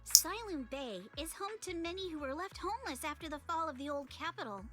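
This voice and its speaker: A woman's voice: extremely high pitch, animated, fairly quick, music in the background. A woman playing a cheeky, sardonic young local in a video game. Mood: explanatory.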